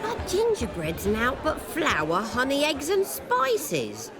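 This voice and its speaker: A woman's voice: sits high, wildly swooping pitch, slow, over loud music, heavily acted. An actress playing a puzzled, sceptical woman in a crowd in a video game, questioning and incredulous. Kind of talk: video game dramatic acting. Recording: echoey, distant, loud crowd noise.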